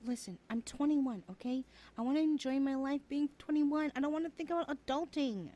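A woman's voice: high voice, fairly quick, mellow, muffled recording, natural voice. A woman playing a sassy, blunt twenty-one-year-old best friend in a casual, slangy audio roleplay, often sighing. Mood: annoyed, defiant. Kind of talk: conversational ASMR roleplay acting.

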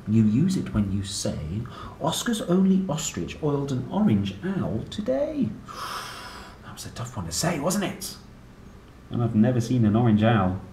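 Very animated man reading to children. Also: mid-range voice. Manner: playful, sing-song, chatting to young listeners in questions and asides. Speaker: children's book reader and presenter. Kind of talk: animated read-aloud of a children's alphabet book. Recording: roomy, distant mic with faint background noise.

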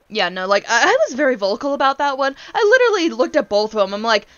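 A woman's voice: high voice, big pitch swings, fairly quick, fairly bright tone, clean tone, no echo, highs cut off. A woman in her twenties ranting casually on a gaming stream, blunt, exasperated and sweary as she tells stories. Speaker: streamer, VTuber host.

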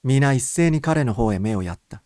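A man's voice: medium pitch, animated, normal speed, a little mellow, no echo, studio quality. A man reading a first-person story as a scripted audiobook narration.

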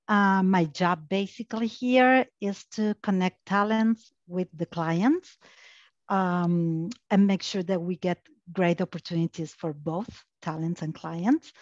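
A woman's voice: on the low side, dry recording. Argentine-accented woman on a dry headset. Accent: Argentine Spanish. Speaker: panelist. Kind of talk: casual panel chat. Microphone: headset on video call. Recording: dry, muffled headset audio.

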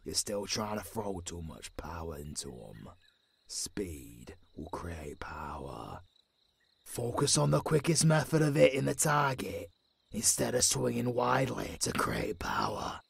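A man with a British accent playing a tough old werewolf mentor in an audio roleplay, gruff but caring, with a growling, raspy voice and a commanding manner. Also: deep voice, heavily acted. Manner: slow, deliberate, commanding.

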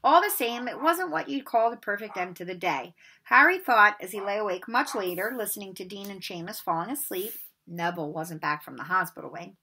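A woman's voice: mid-range voice, expressive, brisk, bright, forceful tone, a little hiss or hum. A middle-aged woman, talking and reading aloud as a teacher for children at home. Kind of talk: storybook read-aloud and casual talk to pupils. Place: bedroom at home. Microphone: laptop or webcam microphone at desk distance.

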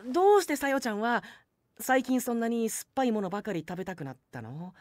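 Woman doing a jumpy anime schoolboy, stammers a lot, high lively voice. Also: fast, no echo, clean studio sound, close to an everyday voice. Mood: puzzled. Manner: stammering, easily startled. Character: flustered, earnest teenage schoolboy with amnesia. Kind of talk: Japanese anime drama CD acting.

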